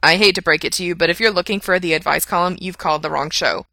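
A woman's voice: lowish voice, quick pace, vocal fry, bright, forceful tone, no room sound, studio quality. A woman voicing a sarcastic, easily flustered male punk radio DJ in a story reading, with blunt, emphatic delivery. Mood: brisk, sarcastic. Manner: emphatic, blunt, on-air patter.

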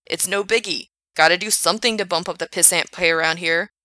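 A man's voice: high voice, steady tone, a little fast, bright, forceful tone, no room sound. A woman voicing a shady young male worker with a nasal, sneering voice. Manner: sneering, mocking.

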